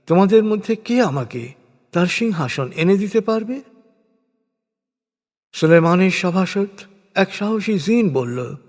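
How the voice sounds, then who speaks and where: A man reading a scripted scripture translation in a measured, solemn, deliberate manner. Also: fairly high pitch, a little mellow. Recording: long hall reverb on voice.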